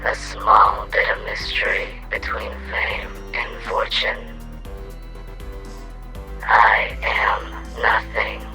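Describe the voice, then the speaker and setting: A man playing a gloomy, cryptic student in an audio drama, with a breathy, whispering, solemn delivery. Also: lowish voice, completely flat delivery, very slow, sharp tone, soft background music, exaggerated voice. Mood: cryptic, bleak. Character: cryptic gloomy enigmatic student who calls himself nothing.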